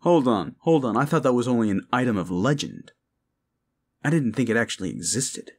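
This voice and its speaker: A sceptical adventurer, played by a man, talks in a medium-pitched voice with very lively intonation. He is wry and full of questions, a companion in an improvised fantasy roleplay. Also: moderate pace. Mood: incredulous.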